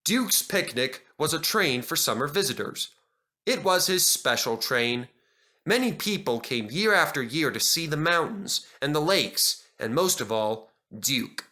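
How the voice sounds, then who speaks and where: A man reading a children's story aloud in dramatic, expressive scripted narration. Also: fairly high pitch, unhurried. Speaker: narrator and reader.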